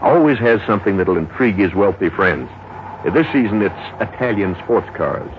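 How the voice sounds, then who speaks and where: A folksy American police sergeant, low-voiced yet very lively in pitch, gossiping with sly hesitations. It is 1950s radio drama on a dull old recording. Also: male voice, slight background noise. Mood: sly, insinuating. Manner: hesitant, insinuating, drawn-out gossip. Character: folksy, gossipy small-town police sergeant, easygoing man.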